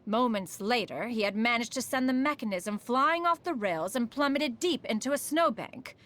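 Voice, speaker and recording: A woman's voice: fairly high pitch, lively intonation, normal speed, a bit bright. A woman playing a proud, refined female immortal in a video game, speaking in a formal, stately manner, aloof yet fond beneath it. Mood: wry reminiscence. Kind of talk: scripted video game character monologue.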